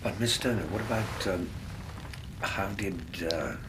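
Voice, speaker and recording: Kindly old army doctor, low voice, gentle questioning, Victorian radio drama, noisy ambience. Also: male voice, unhurried. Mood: tentative. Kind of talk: British radio drama acting. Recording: clearly noisy ambience behind.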